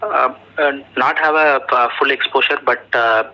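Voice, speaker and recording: A man with an Indian accent answering technical questions as a job candidate over the telephone, halting often and searching for words. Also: medium pitch, steady tone. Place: telephone call. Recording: muffled, dull telephone interview recording.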